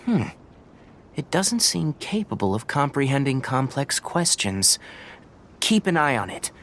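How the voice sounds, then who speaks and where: An actor playing a young, aloof forest watcher in a video game, speaking precisely and analytically. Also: male voice, mid-range voice, very animated, unhurried, fairly bright tone, slight background noise. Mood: dry, analytical. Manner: precise, analytical, matter-of-fact. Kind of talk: video game character dialogue.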